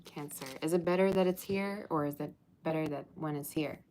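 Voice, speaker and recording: Casual livestream tarot reader, woman with a low voice, playful and chatty, faint hiss behind. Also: brisk, dark tone. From Russia. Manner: chatty, teasing, sings snatches of old songs. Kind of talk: livestream chat and tarot reading.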